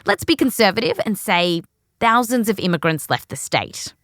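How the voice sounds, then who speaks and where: A woman's voice: mid-range voice, very animated, moderate pace, fairly bright tone, dry recording, studio quality. An Australian woman hosting a science podcast in a scripted narration with playful, jokey asides. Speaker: podcast host.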